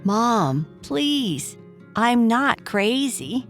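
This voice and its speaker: A woman voicing an earnest, excitable young girl who pleads with her mother in a children's bedtime story. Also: fairly high pitch, very slow, faint music behind, pitched above the performer's own voice, full character voice. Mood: frustrated pleading.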